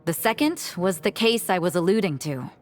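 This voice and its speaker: A woman's voice: fairly low pitch, animated, normal speed, over loud music, lightly acted. A woman voicing a cool, blunt young nun in a video game, with a dry, matter-of-fact delivery.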